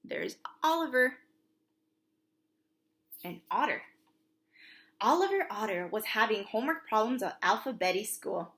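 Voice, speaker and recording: A woman's voice: on the high side, animated, unhurried, long pauses, fairly bright tone. A woman preschool teacher reads a storybook aloud for young children, expressively, with simple asides to her listeners.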